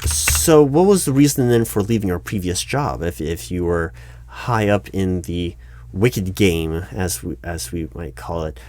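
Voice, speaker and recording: A man playing an earnest, slightly flustered recruiting manager in an interview roleplay, speaking in wordy, halting corporate jargon. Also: sits low, expressive, darkish tone, no echo. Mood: probing, hesitant. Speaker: roleplay performer. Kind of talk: one-sided interview roleplay, soft-edged office talk.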